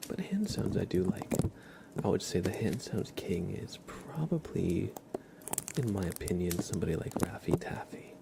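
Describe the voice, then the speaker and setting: A man hosting an ASMR livestream, speaking softly and half in whispers close to the microphone, in a gentle, halting, unhurried murmur. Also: deep voice, big pitch swings, creaky, heavy background noise. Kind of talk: soft-spoken, half-whispered ASMR chat and playful roleplay.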